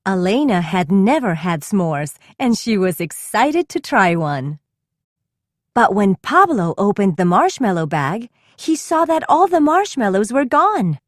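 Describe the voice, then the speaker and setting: A woman narrating a children's storybook read-aloud in an expressive, deliberate storytelling manner. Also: medium pitch, no echo, studio quality.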